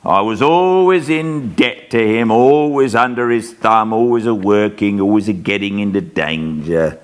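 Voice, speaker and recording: A man's voice: on the low side, a little slow, voice pushed up, close to an everyday voice. A man doing an old drawling convict. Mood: brooding. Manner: gruff, plain-spoken, drawling. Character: old rough male convict telling his past.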